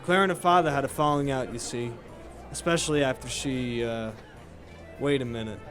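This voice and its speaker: A man's voice: mid-range voice, normal speed, a bit bright, soft background music, natural voice. A man playing a friendly nightclub bartender in a noir audio drama, folksy and hesitant as he informs. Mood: confiding. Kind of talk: noir radio drama dialogue.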